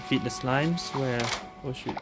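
A man giving casual, low-key commentary while playing a video game, muttering short remarks to himself. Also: moderate pace. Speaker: gamer. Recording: game music and sound over voice.

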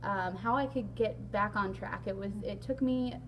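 A young woman talks casually as a vlog host, her voice hoarse and raspy after losing it. She fills pauses with ums and keeps a mid-range pitch. Light background hum sits under her. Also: a bit bright, low-quality recording. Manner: chatty, frequent ums, laughs along. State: hoarse, lost voice. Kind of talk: casual sit-down interview. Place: large indoor hall.

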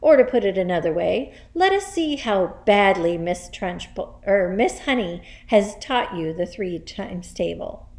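A woman's voice: a little high, expressive, moderate pace, few pauses, natural voice. A woman voicing a huge, bullying headmistress in a children's story, barking orders and insults. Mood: booming, scornful. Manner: barking, snapping, shouted commands and insults. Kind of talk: children's storybook character reading.

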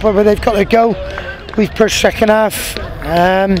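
English football manager in his 30s, pitchside post-match chat, blunt and repetitive, outdoor noise audible. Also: fairly even intonation, a little slow. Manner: frank, repeats himself, many restarts. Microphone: handheld interviewer mic, medium framing. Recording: outdoor noise and passing traffic.

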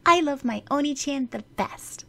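A woman plays a frail seven-year-old girl in a storybook reading. The voice sits far above her own, clingy and sweet, with a gravelly edge. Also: animated, normal speed, a bit bright, heavily acted. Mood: eager. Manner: high, clingy, childlike.

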